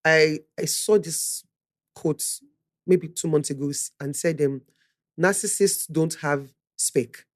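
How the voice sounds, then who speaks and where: A woman's voice: sits low, slow. A woman hosting a faith-based interview, reacting often and adding preachy asides. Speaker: host.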